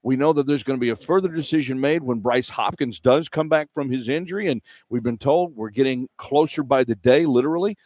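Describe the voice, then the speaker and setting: A man's voice: medium pitch, fairly quick, few pauses, darkish tone, no echo. A middle-aged or older man works as a play-by-play host on sports radio. He delivers postgame commentary in a mid-range voice, recorded dry with very little room around it. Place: indoor arena broadcast position.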